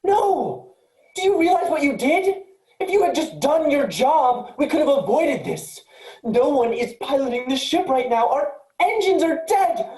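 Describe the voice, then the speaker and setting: Woman acting an earnest spaceship programmer, lively pitch, echoey. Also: measured pace. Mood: urgent. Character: earnest, rule-abiding spaceship programmer, anxious and loyal to machines. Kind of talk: virtual staged play reading.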